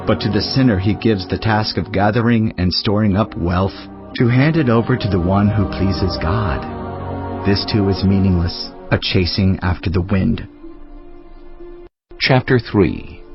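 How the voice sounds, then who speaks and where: A man reads scripture as a dramatized narration, with a solemn, measured and emphatic delivery. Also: on the low side. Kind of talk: dramatized audio Bible reading, scripted narration. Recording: slightly dull, band-limited audio with music. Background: clearly audible background music.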